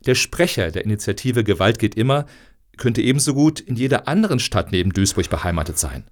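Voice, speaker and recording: An actor playing a smug lobbyist in a satirical radio play, speaking in glib, wordy official euphemisms. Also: male voice, fairly low pitch, animated, brisk, natural voice. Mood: smug, emphatic. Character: smug male lobbyist for tolerating violent crime.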